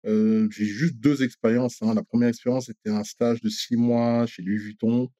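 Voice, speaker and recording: A man in his thirties from Rwanda, talking as an interview guest, hesitant with many pauses and restarts. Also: mid-range voice, steady tone, mellow, dry recording, studio quality. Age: 30s. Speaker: guest, company director. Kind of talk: casual interview chat.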